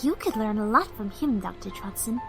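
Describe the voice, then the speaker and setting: A woman voicing a shy, gentle cartoon pony mare who speaks for animals, soft, polite and hesitant. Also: medium pitch, lively intonation, moderate pace, vocal fry, music in the background. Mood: hurt, scolding. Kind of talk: cartoon fan audio drama acting.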